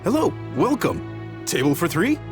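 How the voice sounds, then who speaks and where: Genial, animated restaurant chef. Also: male voice, high-pitched, a little slow, over music, heavily acted. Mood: welcoming. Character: Chef Mao, genial, eager-to-please restaurant chef. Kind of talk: video game character acting.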